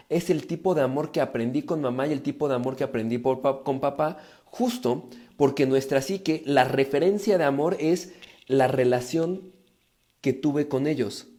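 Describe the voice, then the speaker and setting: A man with a Mexican accent speaks in a soft-edged, mellow timbre, pitched a little high for a male voice. He talks informally to a live audience online, explaining ideas about relationships with emphasis and slipping into imagined inner dialogue. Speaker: livestream speaker on relationships and psychology. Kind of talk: informal live self-help talk to viewers.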